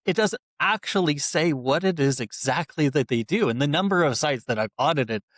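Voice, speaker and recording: Relaxed chatty man, dry sound. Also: fairly high pitch, moderate pace, no room sound. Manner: relaxed, conversational, laughs easily, many fillers like "you know". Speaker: podcast host, website builder. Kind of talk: casual podcast interview.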